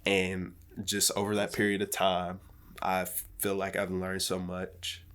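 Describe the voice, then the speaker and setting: A man's voice: low voice, monotone, unhurried, a bit bright. A man in his twenties chatting casually as a podcast guest, laid-back and rambling, swearing freely. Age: 20s.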